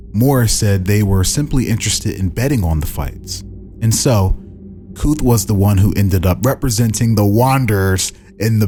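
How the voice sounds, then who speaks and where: Male host, low register, informal story reader who slips in casual reactions, faint music underneath. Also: a little mellow. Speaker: podcast host reading a fantasy role-play story. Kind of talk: casual scripted story reading with asides.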